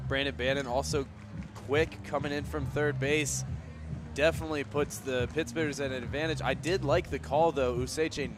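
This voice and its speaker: A man giving conversational, analytical color commentary during a live baseball radio broadcast, explaining the plays as they happen. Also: mid-range voice. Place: outdoor ballpark broadcast position.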